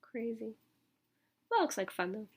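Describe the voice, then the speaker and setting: A woman teacher reading a picture book aloud to children, expressive and emphatic, with casual asides to her listeners. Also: on the high side, measured pace, heavy background noise.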